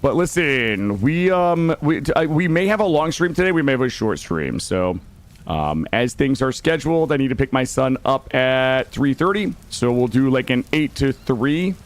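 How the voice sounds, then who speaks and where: A male game streamer with a mid-range voice that is slightly gravelly and not fully warmed up. He talks informally, mixing commentary on what he is doing with friendly chat to viewers. His delivery is playful, with mild swearing. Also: mid-range voice, expressive, moderate pace. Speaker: game livestreamer. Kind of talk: casual gaming livestream commentary and chat.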